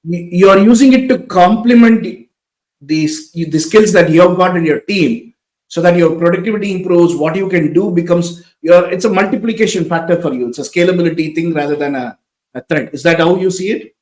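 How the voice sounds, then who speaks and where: A man with an Indian English accent hosts a podcast, his voice sitting high for a male speaker. He thoughtfully restates his guest's ideas and follows up with questions. The recording carries a noticeable room echo. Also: a little fast, darkish tone. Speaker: podcast host. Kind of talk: conversational interview.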